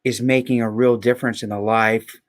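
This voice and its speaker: A man's voice: medium pitch, little pitch movement, normal speed. A man with a mid-range voice in a podcast conversation. He speaks casually and thoughtfully as a co-host. His delivery is earnest, and he repeats phrases for emphasis.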